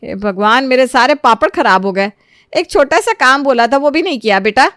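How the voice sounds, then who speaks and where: A woman voicing a kind, motherly middle-aged aunty with a plaintive manner in an animated moral story. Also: high-pitched, moderate pace, no room sound, clean studio sound, voice pushed up. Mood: dismayed, weary.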